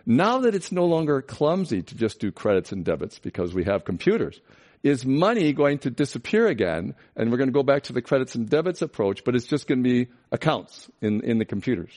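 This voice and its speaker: A man's voice: mid-range voice, sing-song, brisk, a little mellow. A man with a mid-range voice acts as interviewer. He speaks conversationally and discursively, building his way toward long questions.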